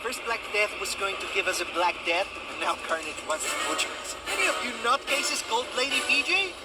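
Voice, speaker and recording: A man's voice: high voice, very animated, a little fast. A young man with an American accent playing a cocky, wisecracking amateur superhero in a video game. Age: young adult. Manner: cocky, joking, foul-mouthed. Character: wisecracking, starry-eyed young male amateur masked superhero. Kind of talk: video game dialogue and first-person narration. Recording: loud music over the voice.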